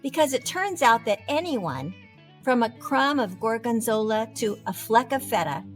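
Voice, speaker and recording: A woman voicing a proud, dramatic wheel of cheddar in an expressive children's storybook read-aloud. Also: a little high, soft background music, natural voice. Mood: upbeat. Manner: theatrical, expressive, deliberate delivery.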